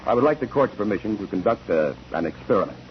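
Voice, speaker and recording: A man's voice: fairly low pitch, sing-song, fast. An actor playing a methodical male courtroom examiner in 1940s radio style, questioning in a formal, probing way. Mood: brisk, methodical. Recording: dull, noisy 1940s radio recording.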